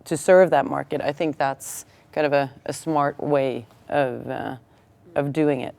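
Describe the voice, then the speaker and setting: A woman's voice: low-pitched, fairly even intonation, unhurried, gravelly, darkish tone. A woman, a founder speaking thoughtfully in English on a panel and often saying "kind of". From Norway. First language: Norwegian. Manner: thoughtful, with many "kind of" fillers. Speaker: startup founder. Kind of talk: panel discussion.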